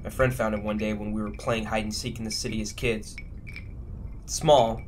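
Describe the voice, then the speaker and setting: A man's voice: on the low side, little pitch movement, moderate pace, noisy background, natural voice. A man playing an adventurous, earnest young crewman in a science fiction audio drama, talking in a casual way. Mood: confident, storytelling. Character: adventurous young spaceship crewman, earnest persuader with a haunted past. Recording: music playing under the dialogue.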